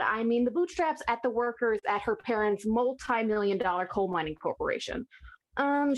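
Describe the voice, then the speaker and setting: A woman playing a breezy, drily amused demon in a theatrical comic monologue, with casual, wry delivery. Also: a little high.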